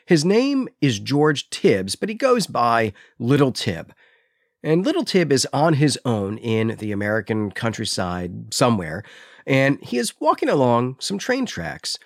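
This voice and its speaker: Man, podcast host, measured explanatory talk, medium pitch, dry close recording. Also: wildly swooping pitch, dry recording. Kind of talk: literary podcast discussion and recap.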